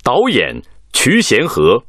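A man's voice: mid-range voice, huge pitch swings, slow, fairly bright tone, dry recording. A man reading credits as a radio announcer, in a formal scripted style on a close studio mic.

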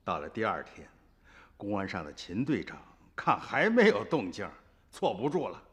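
An animated village storyteller. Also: male voice, lowish voice, moderate pace, long reverb tail. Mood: reminiscing. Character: rural man recounting village events from memory. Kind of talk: radio drama narration in dialogue.

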